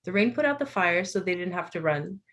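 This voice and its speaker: A woman volunteer reading a children's story aloud with expressive storytelling over a video call, lifting her voice for the animals' lines. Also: fairly low pitch, a little fast. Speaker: volunteer reader. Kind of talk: reading a picture book aloud.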